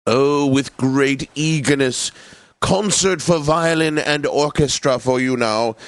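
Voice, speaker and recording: An actor playing a pompous, genteel classical radio DJ in a video game, announcing in a precise and theatrically obliging manner. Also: male voice, mid-range voice, takes it slowly, no room sound, clean studio sound, close to an everyday voice. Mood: eager, gracious. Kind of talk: scripted radio continuity announcing.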